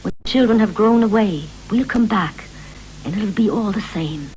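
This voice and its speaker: Dutiful wife and mother female actor, mid-range voice, measured and clipped, poetic 1960s radio drama with slight background noise. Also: mid-range voice, a little mellow, lightly acted. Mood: wistful. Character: dutiful, busy housewife and doting mother. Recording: noisy, humming 1960s radio recording.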